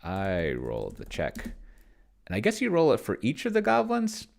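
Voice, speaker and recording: Male gamer-host, low gravelly voice, casual think-aloud commentary, mutters while typing. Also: huge pitch swings, darkish tone. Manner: muttering to himself, slowing as he dictates while typing. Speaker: solo tabletop gamer and video host. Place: indoors at a computer, keyboard clicks behind.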